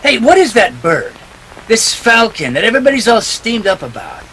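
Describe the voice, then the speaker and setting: A hard-boiled male detective played by an actor in a 1940s radio drama. His delivery is clipped and wisecracking, swinging widely in a sharp, bright voice. Faint noise sits behind the recording. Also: sits high, very animated, normal speed, full character voice, rough recording. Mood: pressing, sardonic. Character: tough, wisecracking male private detective, impatient and cynical.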